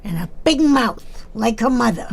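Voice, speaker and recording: Creaky-voiced chatty older seamstress. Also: female voice, fairly high pitch, animated, a little hiss or hum. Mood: teasing, friendly. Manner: rambling, halting, chatty, with small asides. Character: chatty, nosy, wistful older seamstress, playfully teasing. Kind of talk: naturalistic audio drama acting.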